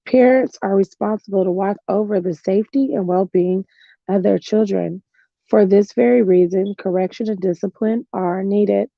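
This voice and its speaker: A middle-aged woman reading a self-help book aloud in a slow, earnest way, tired and with her voice going hoarse. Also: medium pitch, dry recording. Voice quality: gravelly. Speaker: book reader. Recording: dull lo-fi home recording.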